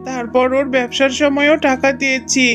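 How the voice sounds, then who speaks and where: A woman voicing a kind, trusting male fox husband and father in a cartoon story, gentle and earnest. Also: high-pitched, flat delivery, normal speed, over music, close to an everyday voice. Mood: earnest, pleading. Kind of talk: dramatised Bangla cartoon story.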